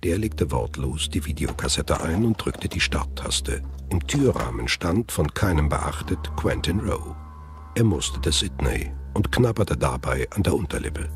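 A man's voice: very deep voice, very animated, measured pace, soft background music. A man narrating an audio thriller from a script.

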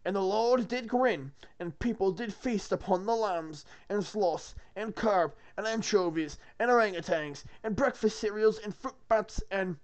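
A reader voicing a pompous male monk who reads scripture aloud in a slow, solemn, sing-song intonation. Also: mid-range voice, pitched above the performer's own voice, natural voice.

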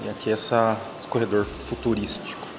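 A man's voice: on the low side, little pitch movement, a little fast, noisy background. A man, chatting casually and a little slangy while walking around in a travel vlog. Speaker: vlogger.

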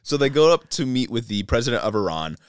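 A young man in his twenties co-hosting a casual book review podcast, laid-back and low-key as he recaps the plot, on a boom mic in a small home studio. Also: sing-song, fast, smooth, dry recording. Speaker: podcast co-host. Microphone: boom mic on stand.

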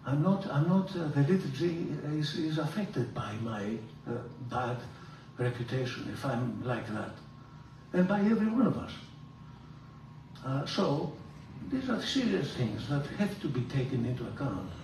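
An older man, a priest, giving a theology lecture in an animated, emphatic way, filmed from across a large room. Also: measured pace, slight background noise. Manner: animated, gesturing, emphatic rises in pitch. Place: large room with hard walls. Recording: roomy hall echo, from far off.

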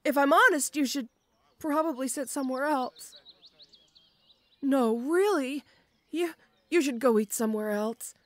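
A woman playing a shy, awkward, muscular tomboy orc schoolgirl in an audio roleplay, halting and stammering timidly. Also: high-pitched, a bit bright. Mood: apologetic, timid. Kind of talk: roleplay audio acting addressed to the listener.

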